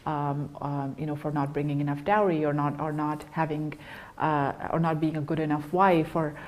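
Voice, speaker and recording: Young woman, low voice, earnest and halting, lots of ums, telling her own story on a talk show. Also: little pitch movement, a little mellow. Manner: earnest, halting, with many fillers and restarts. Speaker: guest. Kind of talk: personal testimony in an interview.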